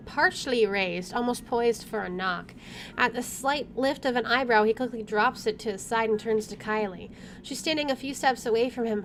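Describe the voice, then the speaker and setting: A woman reads game narration aloud as a let's play host. Her voice is fairly high, she trips over and rereads the odd phrase, and there is faint noise behind her. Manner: stumbles over words and rereads them. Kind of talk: reading visual novel narration aloud with side comments.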